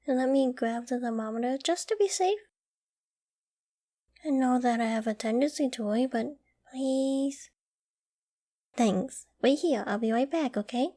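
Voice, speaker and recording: An affectionate girlfriend acted out by a woman in an ASMR roleplay. Her voice sits on the high side. She is caring and coaxing, with gentle teasing and a playfully flustered edge. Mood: worried, coaxing.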